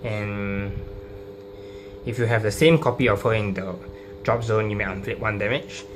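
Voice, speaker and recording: A man informally explaining a card deck, halting and hesitant, often repeating his phrases. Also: fairly low pitch. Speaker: trading card deck profile presenter. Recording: clearly noisy recording with hum.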